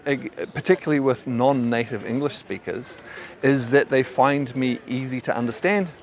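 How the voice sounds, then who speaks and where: A man with a mild New Zealand accent, a qigong teacher talking casually and thoughtfully to camera, often stammering over restarts. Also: medium pitch. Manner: thoughtful, rambling, frequent stammered restarts and fillers.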